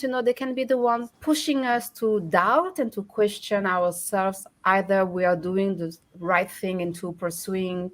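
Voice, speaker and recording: A woman hosting a podcast panel, posing questions to her guests. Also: mid-range voice. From immigrant to Canada. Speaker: podcast host. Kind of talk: moderated panel interview.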